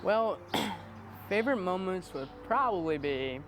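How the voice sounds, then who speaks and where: A man's voice: high-pitched, lively intonation, takes it slowly, noisy background. A man of twenty-one chatting casually in a rambling, self-deprecating, playfully joking way. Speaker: interviewee, amateur videographer. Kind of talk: casual chat.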